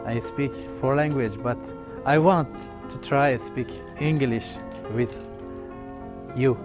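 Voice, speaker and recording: Brief: Iranian man with a Persian accent, unhurried and earnest, plain simple phrasing. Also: mid-range voice, over music. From Iran. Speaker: healer guest. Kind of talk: halting address to viewers in broken English.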